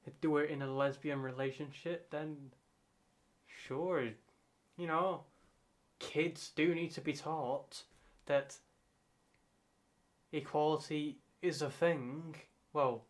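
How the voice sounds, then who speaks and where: A man reacting casually off the cuff, in a halting way, often repeating and rephrasing himself. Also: fairly high pitch, fairly even intonation. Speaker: reactor and channel host.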